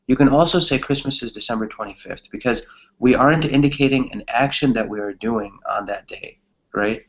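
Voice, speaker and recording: A man's voice: mid-range voice, brisk, vocal fry, lo-fi sound. A man teaching a conversational English grammar class, often checking in with a questioning tag as he explains.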